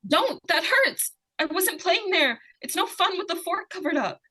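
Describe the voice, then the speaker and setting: A woman's voice: very high voice, sing-song, unhurried, bright, forceful tone, full character voice. A woman acting an inquisitive, innocent-seeming young girl in 1940s radio suspense style, with a hesitant, artless, questioning manner. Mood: frightened, pleading.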